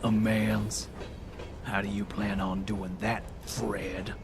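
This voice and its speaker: A man voicing a tough, menacing rat crime boss with deliberate mobster talk in a cartoonish audio drama. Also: on the low side, level tone, noisy background. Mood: grudgingly impressed.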